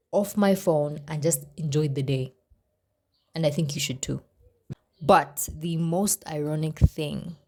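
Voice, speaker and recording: A young woman from Zambia, chatting casually to camera in her vlog, expressive and pleading at times. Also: low voice. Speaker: vlogger.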